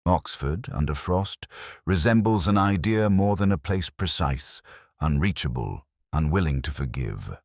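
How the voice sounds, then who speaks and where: A man reading a literary detective story in the first person, with measured, reflective, melancholy phrasing. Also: very deep voice, no room sound, clean studio sound. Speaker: narrator of a detective mystery story.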